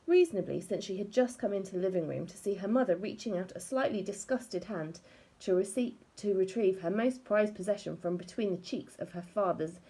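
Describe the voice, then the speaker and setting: A woman reading a children's story aloud in a wry, expressive way. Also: medium pitch, fairly quick, husky, poor audio. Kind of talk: animated storybook read-aloud.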